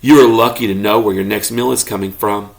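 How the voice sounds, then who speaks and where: A man reading a children's story aloud expressively, as a parent does to a child, pausing to ask questions. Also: fairly low pitch, fairly even intonation, creaky. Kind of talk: expressive children's read-aloud, narrating as a pet dog.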